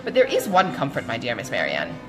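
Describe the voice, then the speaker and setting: Woman voicing an effusive, swooping older gentlewoman. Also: very deep voice, wildly swooping pitch, brisk, music in the background, voice pushed down, exaggerated voice. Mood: indignant, consoling. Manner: exclamatory, chattering, dramatically emphatic. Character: kindly, gossipy, effusive, indignant older English gentlewoman. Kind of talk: audiobook character dialogue from a classic novel.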